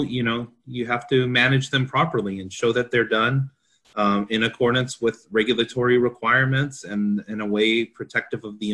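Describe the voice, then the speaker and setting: A man's voice: on the low side, sharp tone. A man working as a consultant, explaining a technical standard informally and in plain terms in a discussion. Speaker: consultant panelist.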